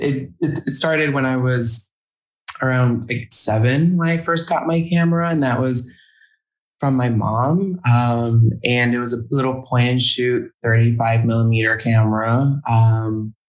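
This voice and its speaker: A young man talking as a podcast guest, hesitant and halting, restarting his sentences often. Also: medium pitch, rough recording. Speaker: guest photographer. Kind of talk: casual interview chat.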